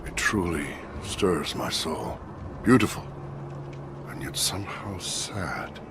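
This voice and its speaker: A formal samurai guard from a game cutscene. His lowish voice moves freely in pitch, with a wistful, musing streak. Background ambience is clearly audible. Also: big pitch swings, moderate pace, background noise. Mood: wistful, entranced. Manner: formal, musing, breathy murmurs rising to firm speech. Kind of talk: video game cutscene acting.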